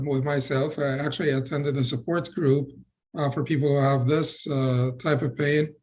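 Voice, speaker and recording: A middle-aged man from Egypt with an Egyptian accent, a physician speaking on a video call with many pauses and fillers. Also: mid-range voice, slight background noise, low-quality recording. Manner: hesitant, many fillers and restarts. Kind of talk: personal talk in an online session.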